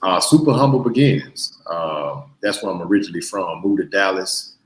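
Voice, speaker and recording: Man in his thirties, Mississippi Southern accent, very deep voice, roomy echo, relaxed, hesitant. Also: moderate pace. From Mississippi, USA. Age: 30s. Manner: relaxed, hesitant with frequent uh fillers. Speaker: interview guest. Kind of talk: casual interview chat.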